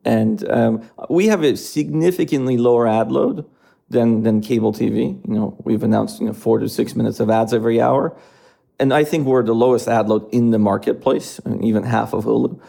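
Gravelly, mellow-voiced man. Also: medium pitch. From Iran. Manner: measured, hedging with fillers. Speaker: streaming company executive. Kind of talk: interview answers.

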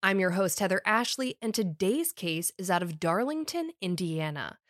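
A woman's voice: mid-range voice, expressive, normal speed, a bit bright, no room sound. Woman host narrating true crime, dry room. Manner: wry, conversational storytelling. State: recovering from a summer cold. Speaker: podcast host.

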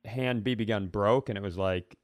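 A man's voice: fairly low pitch, normal speed, no room sound, clean studio sound. A man co-hosting a podcast, telling casual comic stories in a wry, rambling way. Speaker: podcast co-host. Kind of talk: casual comedic storytelling.

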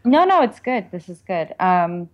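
A woman's voice: fairly low pitch, big pitch swings, unhurried, muffled recording, a little hiss or hum. A woman answering interview questions conversationally, explaining things in a reflective, informal way. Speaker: author and perfumer, interview guest.

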